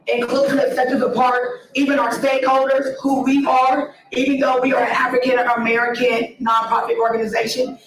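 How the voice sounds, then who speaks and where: A woman from Ohio giving an earnest, emphatic presentation about her nonprofit, her voice raspy from an early start. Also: fairly high pitch. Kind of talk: conference presentation. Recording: echoey hall, distant mic.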